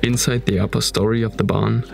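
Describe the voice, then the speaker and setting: A man with a German accent narrating a documentary about an abandoned manor in a calm, measured tone. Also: lowish voice, creaky, loud music behind the voice. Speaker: narrator of urban exploration videos.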